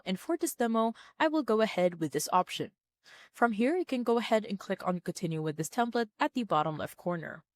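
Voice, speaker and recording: Woman doing a software how-to voiceover, mid pitch, plain and instructional, dry close mic. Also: no room sound. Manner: instructional, orderly, plain-spoken. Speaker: tutorial presenter. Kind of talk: scripted step-by-step software tutorial narration.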